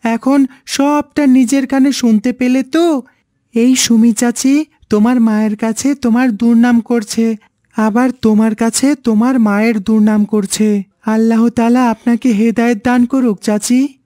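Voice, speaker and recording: Woman as righteous youth, mellow dry voice. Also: fairly high pitch, fairly even intonation, measured pace, dry recording, lightly acted. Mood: reproachful. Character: righteous young man exposing a schemer. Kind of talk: Bengali cartoon voice-over.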